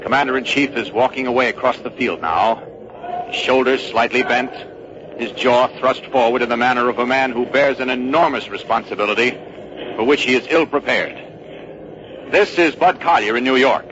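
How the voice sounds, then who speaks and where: An actor playing a persistent American field correspondent in a dramatized 1940s radio newscast, speaking crisply and descriptively. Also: male voice, mid-range voice, normal speed, a crowd in the background, natural voice. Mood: solemn, respectful. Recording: dull vintage radio recording.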